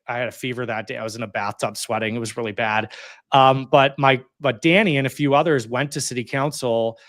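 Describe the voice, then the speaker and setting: A man's voice: mid-range voice, a bit bright, dry recording, studio quality. A man in his thirties, a guest on an interview show, telling his story in a casual, conversational way and filling his talk with "like" and "you know". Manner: chatty, heavy use of "like" and "you know", quick laughs. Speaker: interview guest, community organizer.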